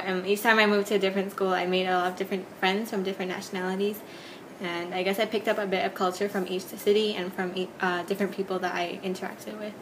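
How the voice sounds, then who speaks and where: A young woman student from Hong Kong chats casually, often saying like. Her pitch sits in the middle range. A faint hum of ambience sits behind her. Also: low-quality recording. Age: young adult. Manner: casual, chatty, says like often. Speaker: university student. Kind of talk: interview answer.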